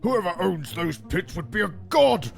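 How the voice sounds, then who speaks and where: A high, creaky, ancient tycoon declaims over loud music. He is pompous, foolish and cantankerous, swooping through every line of this comic dub. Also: animated, moderate pace. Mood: foolishly smug. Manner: pompous, melodramatic, doddering.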